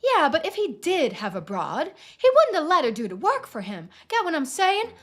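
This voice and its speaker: A woman's voice: sits high, huge pitch swings, normal speed, soft background music, pitched above the performer's own voice. A woman voicing a brash, wisecracking male alpaca in a video game, with insistent tough-guy talk.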